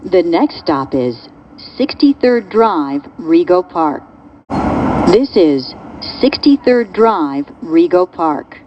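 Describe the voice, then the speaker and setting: A woman reading scripted subway train announcements in measured, clearly segmented phrases, heard over the car's speakers. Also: fairly low pitch, sing-song. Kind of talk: scripted recorded transit announcement. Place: played over speakers inside a subway car. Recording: dull lo-fi capture, loud train noise.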